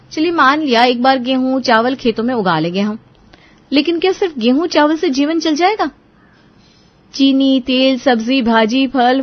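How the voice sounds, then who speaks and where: A woman voicing a sharp-tongued, scheming young daughter-in-law in a cartoon moral story, sarcastic and emphatic. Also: high-pitched, dull audio, close to an everyday voice. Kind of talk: animated Hindi moral story dialogue.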